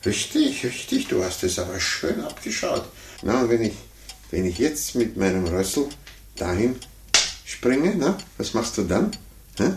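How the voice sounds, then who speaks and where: An actor playing a fussy, condescending older gentleman in a 1950s radio play, muttering to himself and talking down patronizingly. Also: mid-range voice, wildly swooping pitch, a little slow. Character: condescending, fussy, self-important older gentleman chess amateur. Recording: roomy, noisy 1950s radio recording.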